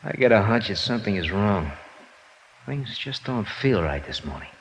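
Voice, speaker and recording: An actor playing a brutal, jumpy gangster holding a hostage in an old radio crime drama, curt and bullying. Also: male voice, lowish voice, big pitch swings, normal speed, low-quality recording. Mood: menacing, irritable. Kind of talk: 1950s radio crime acting. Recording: old radio recording with faint noise.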